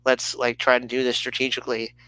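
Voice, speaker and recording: Clear-voiced man in a dry, close recording. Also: medium pitch, completely flat delivery, fast, bright, forceful tone, no echo, poor audio. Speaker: talk show co-host. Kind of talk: casual unscripted chat.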